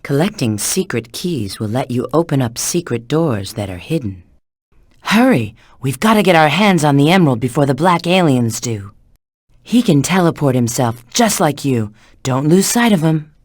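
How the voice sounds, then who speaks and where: A cool, flirty female bat treasure hunter from a video game. She gives knowing instructions in a very lively, swooping delivery. The sound is close and dry. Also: low-pitched, normal speed, dry recording. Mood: urgent, alert. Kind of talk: video game character voice acting.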